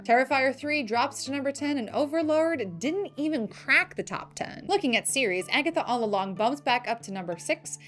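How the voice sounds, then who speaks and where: Animated, high-voiced woman reading entertainment news. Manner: playful, emphatic, slangy asides. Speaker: host of a film news show. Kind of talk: scripted entertainment news narration.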